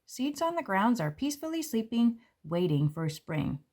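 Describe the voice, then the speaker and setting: A woman, a children's book author, reading her picture book aloud and walking through its pages in a gentle, explanatory way. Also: a little high, sing-song, normal speed. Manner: gentle, explanatory, with light fillers. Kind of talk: read-aloud and book walkthrough. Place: indoors at a table.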